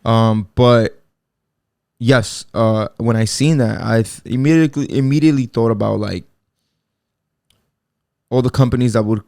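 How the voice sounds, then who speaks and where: A man's voice: mid-range voice, moderate pace, gravelly, pauses often, a little mellow, no room sound, studio quality. A man in his twenties hosting a casual solo podcast, rambling in laid-back slang with lots of fillers and restarts. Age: 20s. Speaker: podcast host.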